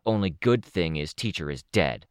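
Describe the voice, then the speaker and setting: Guy reading a gruff no-nonsense woman, short choppy sentences, low voice. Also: expressive, moderate pace, dry recording, studio quality. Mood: grim, blunt. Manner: clipped, terse sentences. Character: blunt tough woman, terse, plain-spoken.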